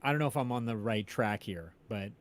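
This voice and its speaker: A man's voice: lowish voice, a little fast. A male co-host in a casual music discussion, explaining ideas tentatively and checking that he is on track.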